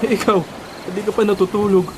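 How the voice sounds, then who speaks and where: A man's voice: high voice, flat delivery, quick pace, noisy background, natural voice. A man playing a blunt, exasperated but loyal buddy in a colloquial Filipino radio drama. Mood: casual. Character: exasperated loyal male buddy urging friend to give up. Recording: loud music bed behind dialogue.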